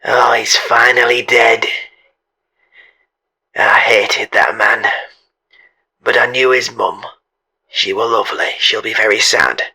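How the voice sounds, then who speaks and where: A slow, gravelly male voice with a hard, sharp edge, a man acting a disapproving local in a mock documentary. He is raspy, halting and gently reproachful. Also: mid-range voice, full character voice, rough recording.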